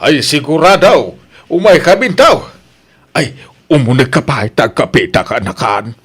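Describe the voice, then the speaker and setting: A man with an Ilocano accent playing a genial, expressive father and uncle in a radio comedy-drama, full of interjections. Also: mid-range voice, measured pace, husky. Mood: cheerful. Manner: animated, interjecting.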